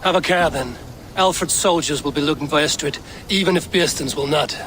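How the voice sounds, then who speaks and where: A man playing a steady, guarded Viking raider with a measured, terse manner, in a video game. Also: on the high side, slight background noise, lightly acted. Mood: calm, guarded. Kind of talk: video game cutscene acting.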